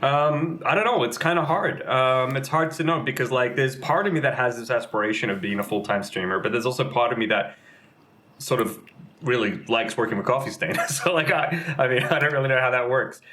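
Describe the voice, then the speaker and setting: A man's voice: mid-range voice, a little fast, bright, forceful tone. A young adult man, a game community manager, chatting casually as an interview guest, rambling with many fillers and restarts.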